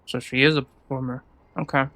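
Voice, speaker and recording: A woman's voice: rumbling low, steady tone, fairly quick, a bit bright, dry recording. A woman giving casual gaming commentary, musing aloud in short reactions as she plays. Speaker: gaming streamer. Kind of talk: casual game playthrough commentary. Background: game music.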